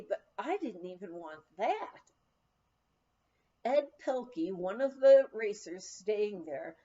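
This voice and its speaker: A woman reading a humorous first-person memoir aloud as an audiobook, with animated, expressive storytelling. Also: mid-range voice, highs cut off. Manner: animated storytelling, acting out quoted dialogue. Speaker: audiobook reader.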